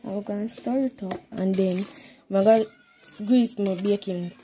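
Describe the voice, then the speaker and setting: A woman's voice: mid-range voice, a little slow, dark tone. A woman with a Jamaican accent chatting informally as she vlogs about cooking at home, slipping into Patois. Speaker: home vlogger. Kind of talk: casual cooking vlog chat. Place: home kitchen, indoors. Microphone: handheld phone behind the camera. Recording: noisy handheld home recording with clicks.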